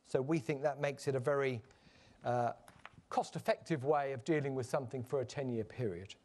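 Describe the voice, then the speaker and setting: A man giving a measured, explanatory conference presentation, with frequent fillers and restarts. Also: medium pitch, a little mellow. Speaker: conference panel speaker. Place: conference stage.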